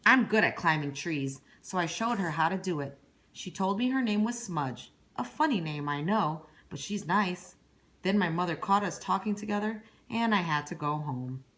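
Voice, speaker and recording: A woman's voice: lowish voice, animated, moderate pace, fairly bright tone. A woman voicing a lonely, bored young boy in a storybook read-aloud, timid and wistful. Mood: wistful, shy.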